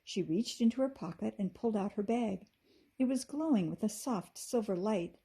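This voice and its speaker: Husky lady teacher reading a kids book out loud, soft dark tone, expressive. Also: mid-range voice, normal speed. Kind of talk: expressive storybook narration for children.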